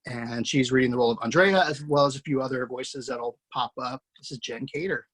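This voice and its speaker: A man's voice: mid-range voice, a little fast, fairly bright tone, husky. Man hosting with chatty, effusive introductions. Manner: effusive, praising, loose with fillers and restarts. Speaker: host introducing a table-read cast. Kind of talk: casual spoken introductions.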